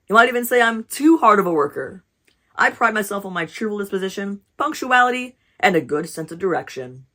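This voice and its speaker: A woman's voice: medium pitch, fairly bright tone. A woman voicing an eager, earnest, cheerful male moose in a storybook, politely formal as he applies for a job. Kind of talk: storybook read-aloud.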